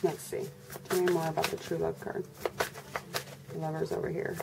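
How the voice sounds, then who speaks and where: A congested woman giving a casual tarot reading to her viewers in a flat, monotone way. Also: normal speed, gravelly. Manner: monotone, low-energy delivery. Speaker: tarot reader. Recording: noisy recording with birds chirping. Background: birds and background noise.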